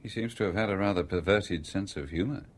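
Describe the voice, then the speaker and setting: An actor with a British accent playing an inquisitive, composed engineer in a radio drama, urbane and conversational. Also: male voice, low voice, fast. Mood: probing. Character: inquisitive, coolly composed stranger, a male engineer.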